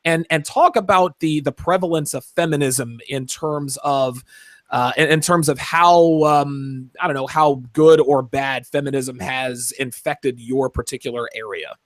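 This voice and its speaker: A man's voice: medium pitch, a little slow, dry recording. A man hosting a podcast, introducing his guest and asking questions in an easygoing, upbeat way. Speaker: podcast host. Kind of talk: casual interview talk.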